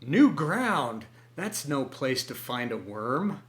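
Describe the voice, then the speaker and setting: A man voicing a vain, hungry male rooster who grumbles gruffly in a storybook reading. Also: medium pitch, big pitch swings, slow pace. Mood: grumbling. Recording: echoey room.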